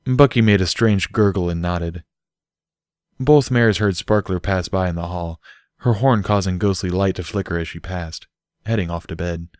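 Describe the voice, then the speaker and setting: A man with a fairly low voice reads scripted fiction as an audiobook narrator. The recording is close and dry, with very little room sound. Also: normal speed, darkish tone, no echo. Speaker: audiobook reader.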